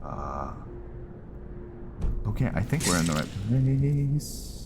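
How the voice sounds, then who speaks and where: Deep-voiced man casually commentating a game. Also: very animated, slow pace, noisy background. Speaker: gamer narrating playthrough.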